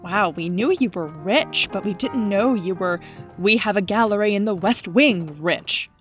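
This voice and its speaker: A woman's voice: medium pitch, measured pace, lightly acted. A woman playing a blunt, wisecracking house guest in an audio drama, with a dry, wry way of talking. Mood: dry teasing. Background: music.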